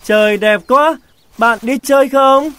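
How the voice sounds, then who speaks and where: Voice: sits high, measured pace, fairly bright tone, faint music behind. An actor voicing a grasshopper in a children's cartoon. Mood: carefree, cheerful. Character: cartoon grasshopper, carefree then pleading and sorry.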